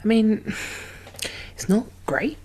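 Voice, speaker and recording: A woman playing a gentle, chatty medic in a zombie apocalypse ASMR roleplay, speaking softly and hesitantly with a reassuring manner. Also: a little high, slow pace, husky. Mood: gentle concern. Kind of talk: soft-spoken, whispered ASMR roleplay.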